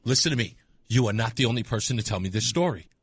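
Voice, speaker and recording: An American man hosting a call-in radio show, talking off the cuff in an animated, conversational way on a close studio mic. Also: mid-range voice, fast, husky, dry recording. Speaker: radio talk show host. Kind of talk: unscripted call-in talk radio chat. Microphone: close studio microphone.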